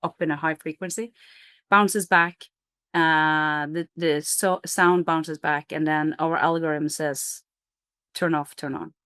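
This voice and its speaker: Low-voiced woman CEO explaining eagerly. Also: slow pace, clean tone, no echo, studio quality. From Norway. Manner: energetic, explanatory, with fillers and restarts. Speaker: company CEO. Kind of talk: business interview answers.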